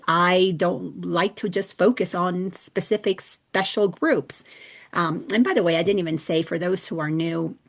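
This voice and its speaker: A middle-aged woman from the Dominican Republic hosting a casual spiritual teaching talk, chatty and storytelling, often restarting her sentences. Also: lowish voice. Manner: chatty, warm-hearted storytelling with frequent restarts.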